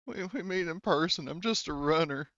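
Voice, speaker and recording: A man's voice: a little high, moderate pace, a bit bright, no echo, lo-fi sound, pitched above the performer's own voice, close to an everyday voice. A man voicing a pathetic, cowed henchman prisoner in a tabletop game, answering hesitantly and meekly. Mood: sheepish. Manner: hesitant, meek, stammering.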